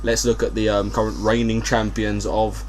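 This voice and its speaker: A man's voice: fairly low pitch, moderate pace. A man hosting a casual football podcast, talking in a hesitant, jokey way as he thinks aloud. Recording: hum and steady background noise.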